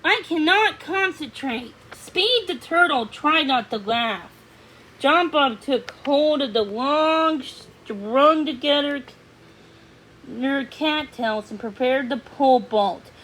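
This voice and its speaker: A woman reading a children's story aloud as narrator, halting now and then over words. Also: high-pitched, fairly bright tone, slight background noise, poor audio.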